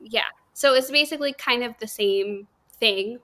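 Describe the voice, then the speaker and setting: Casual female livestream host, higher voice, informal chat. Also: normal speed, pushed and bright.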